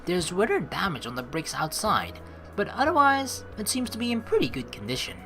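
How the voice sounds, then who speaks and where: A woman playing through a game as host, reading its text aloud and chatting with playful, expressive commentary. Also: lowish voice, a little fast, soft background music. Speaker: let's play host.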